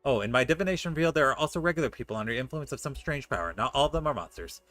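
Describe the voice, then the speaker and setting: A man's voice: mid-range voice, animated, racing, fairly bright tone, no room sound, soft background music. A man streaming a video game, casually commenting and reading dialogue aloud.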